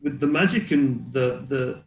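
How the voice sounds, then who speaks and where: A man's voice: medium pitch, unhurried, roomy sound, natural voice. A man voicing a flustered, grudging fantasy city guard who hesitates and repeats his words. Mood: hesitant, unsure.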